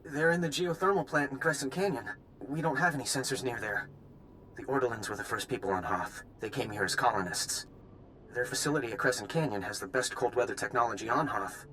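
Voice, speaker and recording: A man's voice: mid-range voice, brisk, bright, forceful tone, close to an everyday voice. An actor playing an earnest, anxious male army lieutenant in a video game, urgent and sometimes faltering. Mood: tense. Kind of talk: scripted video game cutscene acting. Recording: long reverberant hall, faint background noise.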